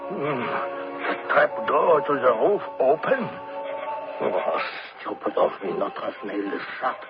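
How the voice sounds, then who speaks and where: A man's voice: mid-range voice, sing-song, unhurried. An actor playing an anxious, deferential German soldier and jailer in a radio drama, hesitant and pleading, stammering over his words. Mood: alarmed, distressed. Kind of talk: 1970s radio drama acting. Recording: muffled old radio recording with music.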